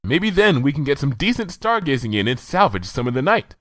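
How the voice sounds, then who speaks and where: A man voicing an eager, bookish young female unicorn who talks in a brisk, earnest, slightly flustered way. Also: mid-range voice, very animated, fairly bright tone, no room sound, clean studio sound, pitched above the performer's own voice.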